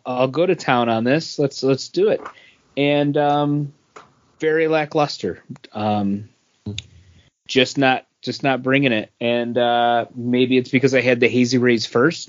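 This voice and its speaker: Guy on a podcast just chatting, medium pitch, kinda muffled lo-fi audio. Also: no echo. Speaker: podcast co-host, works at a beer store. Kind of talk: casual podcast chat, informal beer review.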